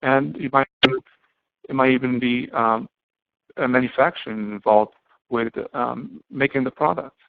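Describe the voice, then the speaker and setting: A man giving an explanatory lecture to students as an instructor, speaking haltingly and often posing questions that he then answers himself. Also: medium pitch, creaky, no echo. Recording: muffled, band-limited, phone-like audio.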